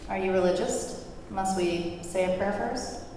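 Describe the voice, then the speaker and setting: A woman's voice: medium pitch, unhurried, mellow, lightly acted. A woman playing a flippant, sweary, streetwise character in her mid-twenties at a script table read. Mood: casual, teasing. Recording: echoey room, distant mic, background noise.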